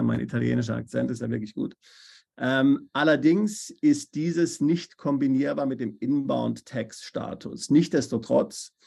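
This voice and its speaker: A man's voice: mid-range voice, creaky, a little mellow, husky. A man advising on taxes in a podcast interview, explaining steadily with frequent fillers and checking "ja?" questions. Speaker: tax advisor. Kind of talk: expert podcast interview answers. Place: based in Italy.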